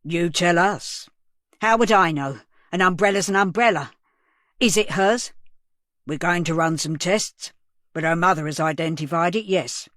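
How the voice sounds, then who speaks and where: A woman's voice: low-pitched, steady tone, moderate pace, fairly bright tone, no echo, studio quality. A woman voicing a male police sergeant who questions a suspect in a pressing, accusing way. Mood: sceptical.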